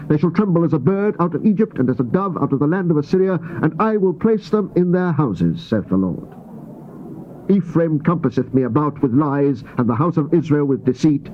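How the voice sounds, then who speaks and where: A man gives a grave, theatrical scripture reading, declaiming with deliberate phrasing and emphatic pauses. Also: fairly high pitch. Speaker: scripture narrator. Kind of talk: dramatized Bible reading, solemn and theatrical. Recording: dull, noisy old cassette tape transfer.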